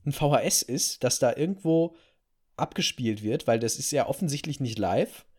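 A man's voice: medium pitch, lively intonation, normal speed, darkish tone, breathy edge. A man co-hosting a casual podcast, speaking in an emphatic way and repeating himself for stress. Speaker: podcast co-host.

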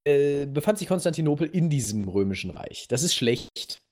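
A man hosting a podcast, telling a history story casually and playfully in a halting, slightly husky voice. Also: on the high side, animated, darkish tone, slight background noise. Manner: halting, playful storyteller addressing co-host directly. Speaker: podcast host.

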